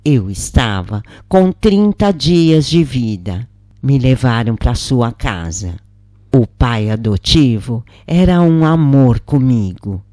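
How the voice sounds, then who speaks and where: Brazilian woman, low-pitched mellow voice, dry sound, scripted storytelling narration. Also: no echo. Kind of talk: scripted first-person narration of a spiritual story.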